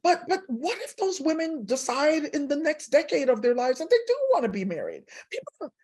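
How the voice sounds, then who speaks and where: A woman's voice: sits high, sing-song, fairly quick, darkish tone. A woman with an American accent, explaining her points conversationally as a guest scholar, earnest and repeating words for emphasis. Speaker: professor and author, podcast guest.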